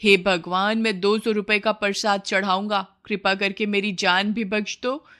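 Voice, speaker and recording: A woman's voice: medium pitch, little pitch movement, unhurried, fairly bright tone, lightly acted. Woman playing a frightened, pleading village man. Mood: fearful pleading. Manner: pleading, exclaiming. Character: frightened village man bargaining with God for his life. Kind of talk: moral storybook character voice.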